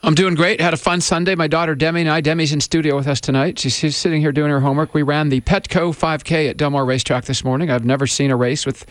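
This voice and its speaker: A man's voice: a little high, steady tone, quick pace, hardly any pauses, dry recording. Tired male radio co-host, dry studio voice. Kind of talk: casual radio chat. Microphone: studio microphone.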